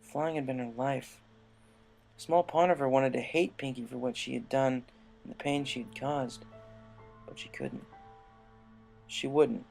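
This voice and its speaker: A man's voice: medium pitch, creaky, darkish tone. A man reading a scripted story aloud as its narrator.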